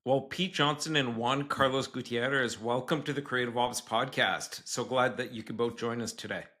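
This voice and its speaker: A mid-pitched man hosts an interview podcast. He introduces his guests and asks questions haltingly, with filler words. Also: fairly even intonation, bright, forceful tone. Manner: hesitant, filler-heavy when unscripted. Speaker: podcast host. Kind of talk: scripted intro then casual interview hosting.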